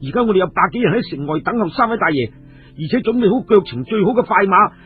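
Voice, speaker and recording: A man's voice: high-pitched, expressive, fast, breathy edge, full character voice. A man voicing a flustered, loyal guard in a Cantonese storybook tale, urgent and deferential. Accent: Hong Kong Cantonese. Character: flustered loyal young male guard bringing urgent news. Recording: tinny radio-like sound with music behind.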